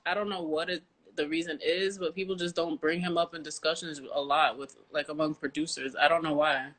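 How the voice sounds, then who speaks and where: A woman's voice: low voice, fairly even intonation, fairly quick, fairly bright tone, rough recording. An American woman hosting an interview podcast, chatting casually in an informal, slangy and emphatic way. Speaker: podcast host.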